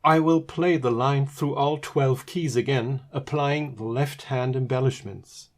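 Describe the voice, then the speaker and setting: Guy teaching music, scripted tutorial narration, average pitched voice. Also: steady tone, measured pace.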